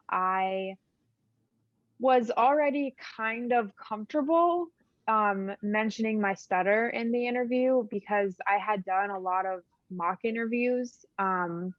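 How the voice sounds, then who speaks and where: A woman's voice: medium pitch, dry recording. Young woman panelist, easygoing conversational delivery, occasional stutter, dull dry audio. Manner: relaxed, conversational, light laughs, occasional stutter. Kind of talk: casual panel discussion.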